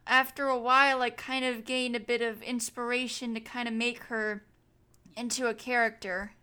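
A young woman co-hosting a podcast, chatting casually and excitedly, saying "like" a lot. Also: on the high side, normal speed, clear, resonant tone. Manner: excitable, frequent "like". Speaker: podcast co-host.

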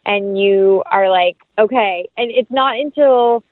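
A woman's voice: mid-range voice, slow, no room sound. Chatty woman on a phone-like line. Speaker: actress, interview guest. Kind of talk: casual conversational interview.

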